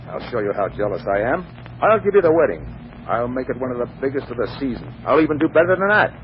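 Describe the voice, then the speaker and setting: An actor plays a wealthy gentleman, proud and indignant, in a 1940s radio play. His voice sits at a middle pitch, and the old recording is muffled with faint noise. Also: sing-song, fast, natural voice.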